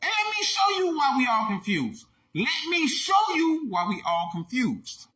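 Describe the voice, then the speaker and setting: A man hosting a short online video, giving emphatic, repetitive commentary that keeps bursting into shouts. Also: very high-pitched, wildly swooping pitch, a little slow. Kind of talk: animated social media commentary.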